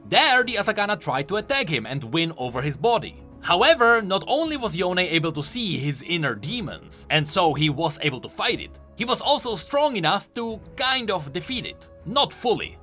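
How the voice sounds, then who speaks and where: A man's voice: on the high side, pushed and bright. A man giving enthusiastic, explanatory commentary on video game lore, leaning hard on key words for emphasis.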